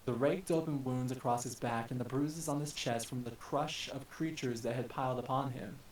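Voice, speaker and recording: A man's voice: mid-range voice, normal speed. Male story narrator in an echoey room. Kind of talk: dramatic narration of an action story. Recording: echoey, distant mic with hiss.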